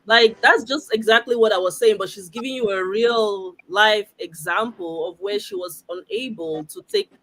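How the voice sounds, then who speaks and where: A woman's voice: medium pitch, normal speed, few pauses, a bit bright, rough recording. A woman hosting an online chat show, talking straight to her viewers with enthusiasm. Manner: enthusiastic, addresses viewers directly. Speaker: host.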